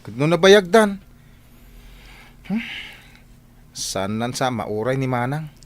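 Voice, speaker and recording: An actor playing an earnest, protective young student, a younger brother, in a radio drama. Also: male voice, medium pitch, sing-song, slow. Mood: concerned.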